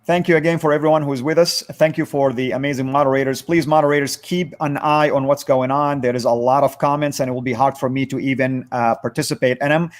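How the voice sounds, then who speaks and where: A man hosting a moderated religious discussion, speaking in halting, deliberate phrases. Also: medium pitch, steady tone. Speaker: livestream host.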